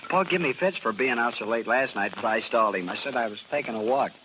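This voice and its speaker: A man's voice: medium pitch, fast, highs cut off, lightly acted. An American man acting in a 1930s radio drama as an earnest, naive, lovesick country youth with boyish, ungrammatical speech. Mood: ardent. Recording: noisy vintage 1930s radio recording.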